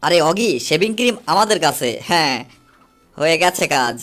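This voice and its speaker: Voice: mid-range voice, expressive, moderate pace, fairly bright tone, natural voice. A performer voicing a gleeful, mischievous cockroach in a comic cartoon dub, taunting and gloating over music. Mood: scheming. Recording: music under the voice.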